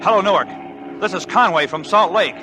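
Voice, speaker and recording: An actor playing an urgent pilot calling in to an airport in a 1940s radio drama. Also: male voice, sits high, fairly quick, over music, lightly acted. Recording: vintage radio, loud vehicle noise.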